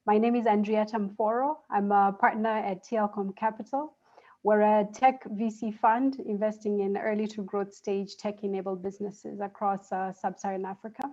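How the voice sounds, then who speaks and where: Woman, panel host, frequent fillers, mid pitch, slightly muffled sound. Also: flat delivery, normal speed, a little mellow. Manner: uses many "uh" fillers. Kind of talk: moderating a panel.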